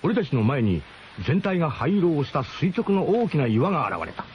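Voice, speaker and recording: A man's voice: fairly high pitch, big pitch swings, moderate pace, muffled recording, a little hiss or hum. A man narrating a radio drama in the first person, with a literary storytelling delivery. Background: music.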